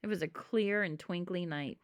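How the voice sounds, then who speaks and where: A woman reading a children's storybook aloud in an expressive narrating style. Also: on the low side, moderate pace, dry recording, clean studio sound. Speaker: storybook reader.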